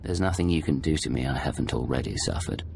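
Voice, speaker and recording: An actor playing a hardened, defiant prisoner under interrogation in a video game, terse and grim. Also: male voice, rumbling low, quick pace, gravelly, noisy background.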